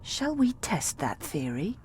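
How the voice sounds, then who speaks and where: A woman's voice: on the low side, sing-song, slow pace, darkish tone, a little hiss or hum. An actress playing a stern, sardonic female assassin in a video game, with a dry, threatening delivery.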